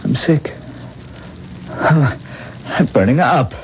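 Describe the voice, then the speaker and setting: Melodramatic lovesick actor, muffled old radio. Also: male voice, medium pitch, animated, slight background noise. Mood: feverish, agitated. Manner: halting, repetitive, theatrical delivery. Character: vain lovesick stage actor, feverish, obsessive, melodramatic. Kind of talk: 1970s radio drama acting. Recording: muffled vintage radio recording, music behind.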